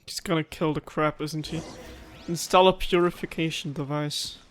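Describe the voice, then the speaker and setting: A man's voice: fairly high pitch, fairly even intonation, moderate pace, slight background noise. A man giving casual let's play commentary as a gaming streamer.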